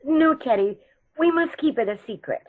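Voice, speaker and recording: A woman playing a sweet, proper elderly aunt in a radio play, genteel and gently bossy. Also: high-pitched, animated, normal speed, phone-quality sound. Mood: gently conspiring. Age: older.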